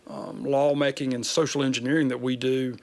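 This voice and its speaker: Plain-spoken man, middling pitch. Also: flat delivery, a little fast, gravelly. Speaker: state senator, interviewee. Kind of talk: explanatory interview talk.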